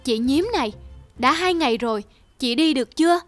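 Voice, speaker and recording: A woman voicing a kind, protective mother snake in an animated fairy tale. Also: high-pitched, brisk. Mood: firm. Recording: music under the voice.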